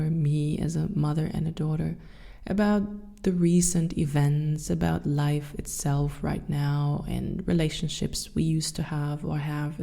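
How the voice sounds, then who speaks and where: A woman in her thirties with a German accent, hosting her own podcast and speaking softly and thoughtfully with some hesitant fillers. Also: sits low, creaky. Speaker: podcast host. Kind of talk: reflective solo podcast talk.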